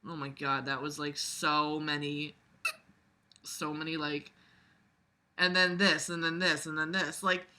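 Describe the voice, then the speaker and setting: A woman's voice: low voice, flat delivery, measured pace, pauses often, pushed and bright. A woman reading a book aloud and critiquing it casually, blunt, exasperated and sweary, with sarcastic commentary.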